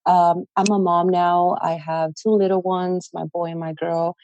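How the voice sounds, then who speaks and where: A woman's voice: fairly low pitch, level tone, measured pace, darkish tone, muffled recording, slight background noise. A woman, a guest telling her story on a podcast interview, earnest and plain-spoken with many fillers. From El Salvador. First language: Spanish. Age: 20s. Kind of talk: casual interview.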